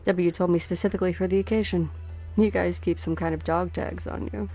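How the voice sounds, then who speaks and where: A woman's voice: fairly low pitch, steady tone, fairly quick, warm tone, soft background music. A woman voicing a cold, taunting assassin in a dramatic game dub, with a cool, menacing delivery. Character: cold, taunting female enemy assassin hunting her prey.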